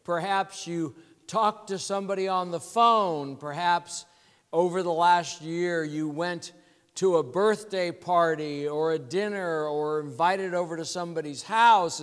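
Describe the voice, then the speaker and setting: A congested man preaches a sermon, his voice slightly high for a man and unhurried. He explains scripture patiently, word by word, and the church hall around him rings with a long reverb. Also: fairly bright tone, clean tone. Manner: measured teaching, explains words slowly. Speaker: pastor. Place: church sanctuary.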